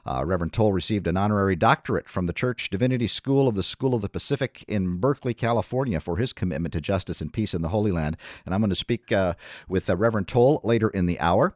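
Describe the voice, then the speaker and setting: A man hosting a radio interview program, speaking in a measured way with frequent hesitant fillers. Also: low voice, expressive, a little mellow, no room sound, studio quality. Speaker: radio host. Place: radio studio.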